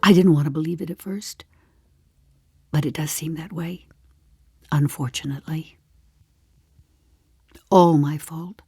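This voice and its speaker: Casting: woman of about 75, darkly comic audio play. Dry wit, unhurried confiding delivery, studio-dry sound. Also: deep voice, steady tone, darkish tone, slightly rough, dry recording, faint music behind, exaggerated voice. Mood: confiding, ominous. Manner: deliberate, confiding, with dry understatement. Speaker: actor in a one-woman play. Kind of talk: theatrical audio drama monologue.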